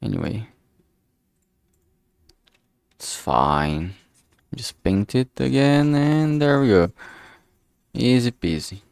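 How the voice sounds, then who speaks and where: A man's voice: medium pitch, lively intonation, slow, creaky, long pauses, darkish tone, clear, resonant tone, dry recording, studio quality. A man casually talking through his digital art work on a livestream, murmuring each step as he goes. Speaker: streamer making a visual novel. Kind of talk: casual livestream commentary while drawing.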